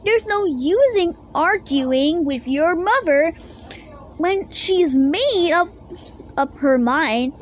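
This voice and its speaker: Woman playing father bear, blustering, exclamatory, high voice, storybook read-aloud. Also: animated, slow pace, a little hiss or hum, heavily acted, poor audio. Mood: agreeable.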